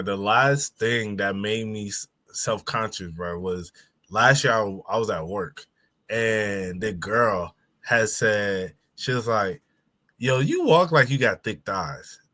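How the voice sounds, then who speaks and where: A man's voice: fairly low pitch, slow pace, pushed and bright. A young man with a lisp, co-hosting a podcast and telling stories in loose casual chat, saying "bro" often. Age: young adult. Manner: laid-back storytelling, says bro a lot. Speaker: podcast co-host.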